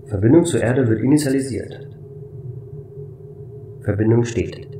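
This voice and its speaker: Man voicing a flat, formal station AI. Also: mid-range voice, measured pace, echoey room, far from the mic, slight background noise, lightly acted. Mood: neutral. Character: calm, matter-of-fact station AI computer named Keep. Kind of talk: amateur sci-fi audio drama acting.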